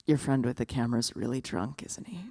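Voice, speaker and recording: A woman playing a serene, pious living statue, intoning slowly and solemnly in a hushed voice for a staged story. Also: a bass voice, no room sound, clean studio sound. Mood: hushed, patient. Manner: hushed, slow, solemn mock-liturgical intoning.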